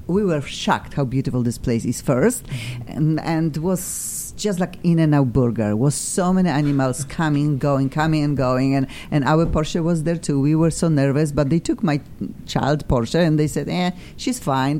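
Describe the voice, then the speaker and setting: Gushing rambly lady hosting a talk show, mellow voice, faint rumble behind. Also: normal speed. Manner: effusive, excitable, rambling. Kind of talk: casual chat show hosting.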